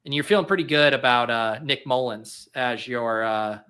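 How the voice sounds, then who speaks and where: A man in his thirties hosts a fantasy football draft on a live stream, talking in a loose, rambling way full of fillers and jokes at his own expense. His voice is bright and forceful, sitting at an ordinary pitch. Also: normal speed, clean tone. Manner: rambling, filler-heavy, self-mocking. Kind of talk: casual live-stream chat.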